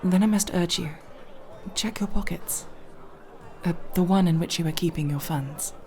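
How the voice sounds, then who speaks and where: Woman acting a prim, nervous, low-voiced elf thief. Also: moderate pace, mellow, a little hiss or hum, natural voice. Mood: cool, persuasive. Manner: formal, wordy, prim diction with hesitations. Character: nervous, proud, formal young female elf thief. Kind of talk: ASMR roleplay acting.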